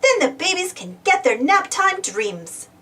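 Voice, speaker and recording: A woman's voice: very high-pitched, sing-song, unhurried, bright, forceful tone, far from the mic. A woman reading a children's story aloud, exclaiming and putting questions to young listeners. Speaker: storybook reader. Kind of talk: animated children's read-aloud narration.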